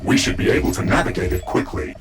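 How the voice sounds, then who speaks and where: A man playing the AI of a mecha-suit in a video game, giving formal, measured tactical reports with a robotic processed voice. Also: over loud music. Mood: calm, formal. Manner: measured, formal, clipped announcements. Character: male AI of a mecha-suit, calm tactical advisor. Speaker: AI companion giving mission reports. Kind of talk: scripted video game dialogue.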